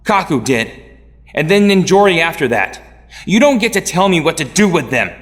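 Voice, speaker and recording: A man's voice: a little high, big pitch swings, moderate pace, fairly bright tone. A man playing an angry, rebellious young hero in an audio drama, defiant and intense. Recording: long hall reverb.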